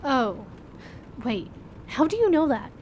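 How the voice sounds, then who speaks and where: Inquisitive woman doctor, high-pitched, casual, teasing, audio drama, faint background noise. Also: very animated, takes it slowly, darkish tone, exaggerated voice. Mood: concerned, curious. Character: informal, teasing, inquisitive female doctor at a school.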